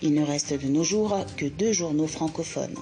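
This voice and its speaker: A woman's voice: sits low, normal speed, music in the background. A woman reading scripted narration for a TV documentary report.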